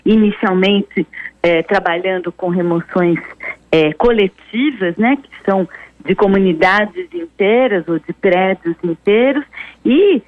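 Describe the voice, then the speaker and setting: A woman's voice: mid-range voice, unhurried, no echo. Emphatic Brazilian woman on a dull line. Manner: emphatic, argumentative, stressing key words. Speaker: radio columnist, professor. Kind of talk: spoken explanatory commentary on radio. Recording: dull, dry phone-like radio line.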